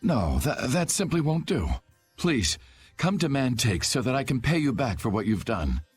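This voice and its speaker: A man voicing a polite, anxious, flustered father in a video game, speaking haltingly. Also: medium pitch, sing-song, close to an everyday voice. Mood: grateful. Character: grateful, flustered father stranded in desert. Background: background music.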